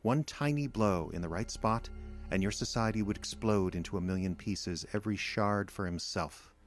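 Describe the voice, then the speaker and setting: A man performs a cold alien villain for an audio drama. The voice sits low and moves with patient, measured condescension, lecturing like a superior being who holds humans in contempt. Faint music runs underneath. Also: close to an everyday voice. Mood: smug, gloating.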